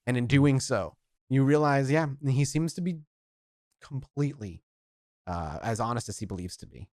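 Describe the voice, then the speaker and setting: Male storyteller, tabletop game narration, lively intonation, improvised revised phrasing, dry close recording. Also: mid-range voice, moderate pace, no room sound.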